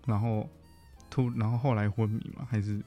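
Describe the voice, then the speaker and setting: A man with a Taiwanese Mandarin accent chatting casually as a guest counselor, haltingly and often correcting himself. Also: fairly low pitch, little pitch movement, dark tone, soft background music. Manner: halting, self-correcting, frequent humming backchannels. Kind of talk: casual conversational interview.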